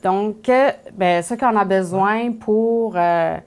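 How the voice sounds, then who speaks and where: Persian-accented woman explaining cooking, mid-pitched. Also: a little mellow, smooth. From Iran. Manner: halting second-language phrasing. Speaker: guest home cook.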